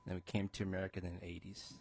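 Man, legislator, husky low voice, upbeat, conversational, dry sound. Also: monotone, very fast, a little mellow, dry recording. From Minnesota, US. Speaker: state representative. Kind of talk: committee introduction speech.

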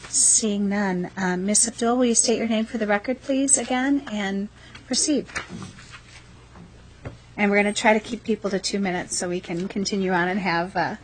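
A woman's voice: medium pitch, fairly even intonation, moderate pace, slight background noise. A woman chairing a committee meeting, moderating the speakers in a polite, businesslike way.

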